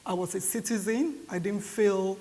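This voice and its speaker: A woman's voice: medium pitch, normal speed, dark tone. Older Nigerian-accented woman in echoey hall. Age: 50s or older. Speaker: conference panellist. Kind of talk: panel discussion remarks. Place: reverberant indoor event hall. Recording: echoey hall with clear background hiss.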